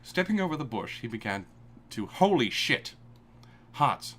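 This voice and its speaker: A man's voice: mid-range voice, big pitch swings, a little slow, fairly bright tone. A man reading a story aloud live for comedy, with an irreverent manner and amused asides. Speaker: reader of fan fiction.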